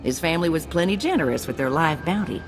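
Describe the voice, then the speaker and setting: A wry, brisk bounty broker. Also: female voice, sits low, lively intonation, music in the background.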